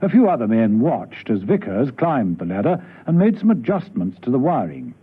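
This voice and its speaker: Animated male radio narrator, husky, old broadcast sound. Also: mid-range voice, moderate pace. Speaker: narrator of a radio spy serial. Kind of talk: dramatic scripted radio narration. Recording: old radio broadcast, phone-like band, faint music.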